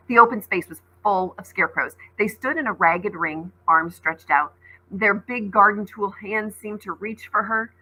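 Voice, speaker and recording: A woman's voice: medium pitch, vocal fry, bright, forceful tone, husky, rough recording. A woman teacher reading a story aloud dramatically, with conversational asides to explain it.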